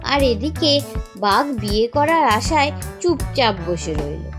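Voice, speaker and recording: A female storyteller narrates a children's tale expressively. Her fairly high voice moves slowly with animated swings in pitch, over clearly audible music.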